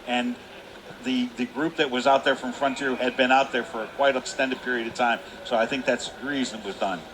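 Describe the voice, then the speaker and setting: A man's voice: medium pitch, steady tone, brisk. A man giving live hockey analysis as a color commentator, explaining in conversational full sentences. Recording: noisy live rink recording.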